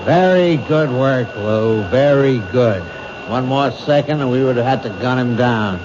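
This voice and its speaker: An actor plays a commanding police captain in a vintage radio mystery, brisk and matter-of-fact. The sound is dull with steady hiss. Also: male voice, medium pitch, lightly acted. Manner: brisk, orders given crisply. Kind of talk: 1970s radio drama acting.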